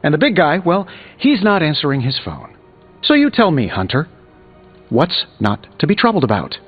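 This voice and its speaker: Cocky wisecracking genius superhero, game dialogue, slightly high voice, muffled with music behind. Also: male voice, huge pitch swings, moderate pace, breathy edge, heavily acted. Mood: wry exasperation. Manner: sarcastic, glib, wry. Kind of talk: video game dialogue, quippy banter.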